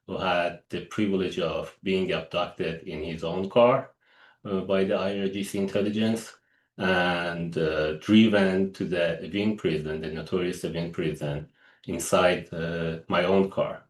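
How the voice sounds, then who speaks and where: A man's voice: deep voice, steady tone, a little slow, echoey room. A man from Iran in his thirties, a guest analyst speaking earnestly in a press briefing, often restarting his sentences. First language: Persian.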